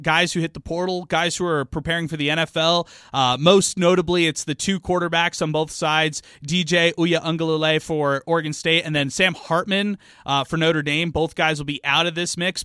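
A man's voice: on the high side, bright, forceful tone, no room sound. A man co-hosting a studio sports talk show, speaking enthusiastically and at length with many fillers. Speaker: sports talk co-host and reporter. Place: radio studio.

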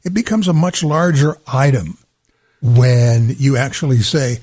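A man's voice: mid-range voice, unhurried, a little mellow, no room sound. An older man hosting a radio segment, talking in a dry, wry, rambling way into a studio mic. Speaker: radio host. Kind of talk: casual radio banter.

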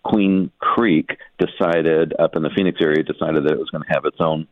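A man talking through local news as a guest editor on a radio show, explaining things in a measured, halting, conversational way. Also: rumbling low, steady tone, no room sound. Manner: explanatory, measured, hesitant phrasing. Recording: muffled narrow phone-like line.

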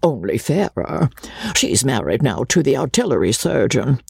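A blustering young gentleman from a storybook, acted by a woman reader. The voice is husky and swoops widely in pitch, self-important yet shy, prone to exclamations and stammers. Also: sing-song, normal speed, no echo, clean studio sound, heavily acted. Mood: boastful. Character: pompous, blustering, bashful young gentleman, ex-colonial officer type.

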